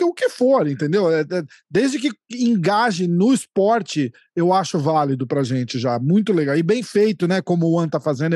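Male host from São Paulo, Paulista accent, high for a man, enthusiastic and slangy, dry close sound. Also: a little mellow, no echo. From São Paulo, Brazil. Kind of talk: casual interview chat.

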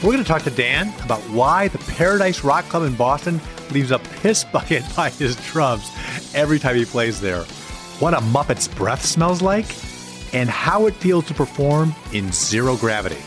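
Male co-host, middle-aged American, average pitch, needling and dryly sarcastic in easygoing conversational banter. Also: expressive, moderate pace, over music. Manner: teasing, dry sarcasm. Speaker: podcast co-host. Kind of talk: bantering casual chat.

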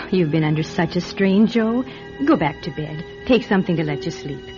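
An actress playing a solicitous woman friend in a radio drama, gently reassuring and coaxing. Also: fairly low pitch, animated, normal speed, close to an everyday voice. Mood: soothing. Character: soothing, solicitous woman friend of troubled widow. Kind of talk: old-style radio drama acting. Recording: dull radio recording with music.

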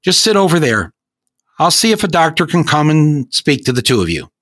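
Man voicing a grudging female nurse, high and curt. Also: very animated, normal speed, a bit bright, no echo, clean studio sound. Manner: curt, clipped.

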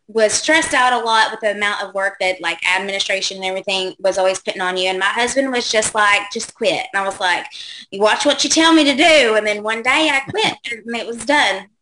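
A woman's voice: mid-range voice, bright, forceful tone, slight background noise, poor audio. A woman in her thirties, a guest on a casual call-in show, chatting loosely with lots of ums and likes. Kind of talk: casual interview answers.

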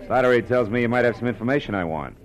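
A man's voice: mid-range voice, racing, slight background noise, close to an everyday voice. An American man playing a tough, cynical private detective with clipped, wry delivery in a 1940s-style radio crime drama. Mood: wry, probing. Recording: old radio recording with music.

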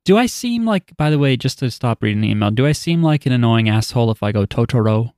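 A man's voice: mid-range voice, animated, fast talker, a little mellow, no echo, studio quality. A man hosting a podcast, chatting casually and reading out listener email with offhand, wry asides. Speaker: podcast host.

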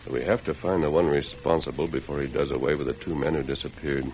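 An actor playing a calm, resolute cowboy lawman hero in an old-time radio western, speaking in a measured, formal, authoritative way. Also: extremely low pitch. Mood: hushed, thoughtful. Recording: noisy vintage radio drama recording.

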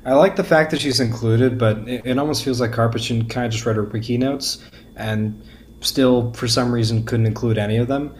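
Halting man chatting, faint noise behind. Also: mid-range voice, fairly even intonation. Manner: halting, repeats words as he goes. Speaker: podcast guest. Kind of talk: casual discussion, critique.

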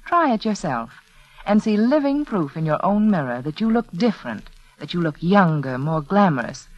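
A woman pitches a product as a 1940s radio spokeswoman. Her intonation swings widely while her diction stays careful and measured. The vintage recording is dull and lo-fi. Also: sing-song, no room sound. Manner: measured, persuasive, carefully enunciated. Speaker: beauty spokeswoman in a radio commercial. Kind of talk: 1940s scripted radio advertisement.

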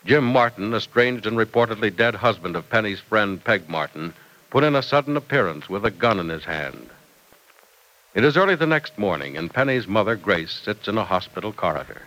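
Animated, bright-voiced old-time radio announcer man. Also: fairly low pitch, fairly quick, poor audio. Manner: emphatic, polished salesman delivery. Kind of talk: 1940s-50s radio announcing, scripted.

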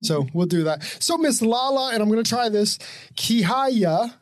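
A man's voice: very high voice, animated, moderate pace, dry recording, studio quality. A man co-hosting a podcast in casual chat, laughing often and rambling with many restarts. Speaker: podcast co-host.

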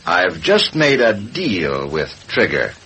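An actor playing a ruthless, corrupt politician who gives curt orders in an old radio crime drama. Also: male voice, fairly low pitch, wildly swooping pitch, takes it slowly, sharp tone, soft background music, heavily acted. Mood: grim, commanding. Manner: curt, commanding. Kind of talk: 1940s radio drama acting. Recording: noisy vintage radio broadcast recording.

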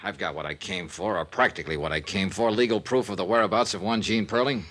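An actor playing a tough, world-weary American insurance investigator in a 1950s radio drama, clipped, hardboiled and deadpan. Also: male voice, low voice, fast talker. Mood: firm, probing. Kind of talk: 1950s radio detective acting and first-person narration. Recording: vintage radio recording with music.

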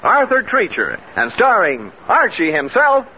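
A man announcing a 1940s radio show in a showy, drawn-out introductory style. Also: high-pitched, wildly swooping pitch. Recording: muffled, hissy old radio broadcast.

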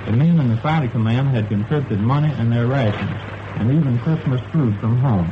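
A man's voice: mid-range voice, a little fast. A man from Alabama answering a scripted 1940s radio interview as an army sergeant. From Alabama, United States. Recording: dull, noisy wartime shortwave radio relay.

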